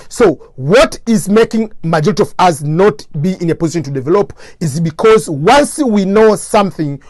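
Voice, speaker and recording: Higher-voiced Tanzanian man, motivational speaker. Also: dry recording. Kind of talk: motivational talk to camera.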